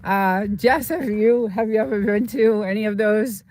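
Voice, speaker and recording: A woman's voice: mid-range voice, moderate pace, a little mellow, a little hiss or hum. A woman host chatting casually and informally on a livestream, rambling and often repeating herself as she talks to viewers.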